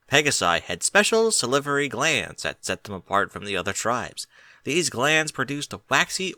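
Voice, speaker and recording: Bright, expressive man narrating a story. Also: mid-range voice, no room sound. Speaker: audiobook reader of fan fiction.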